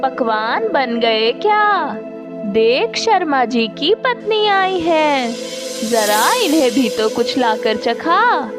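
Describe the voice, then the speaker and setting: A woman's voice: high voice, very animated, slow, exaggerated voice. A woman voicing a jealous, insecure, bossy middle-aged mother-in-law in a cartoon moral story, commanding and boastful. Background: music.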